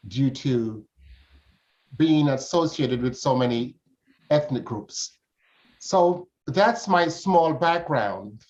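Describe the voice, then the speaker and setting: An older man gives interview answers at a middling pitch. His phrasing is formal and ornate, and the recording is somewhat dull and lo-fi. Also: darkish tone. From Jamaica. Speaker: interview guest. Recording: dull, lo-fi audio.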